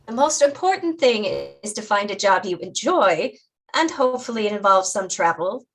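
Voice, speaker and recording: A woman playing a patient, caring mother in a staged drama reading, deliberate and instructive in her way of explaining. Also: mid-range voice, expressive, lightly acted. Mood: patient, reassuring.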